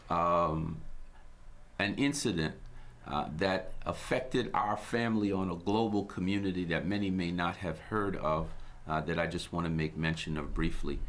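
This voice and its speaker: A very deep-voiced man from Guyana hosts his own broadcast. He talks in a measured, sermon-like cadence, weaving in scripture and uplifting sayings. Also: a bit bright. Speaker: host. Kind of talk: inspirational broadcast talk.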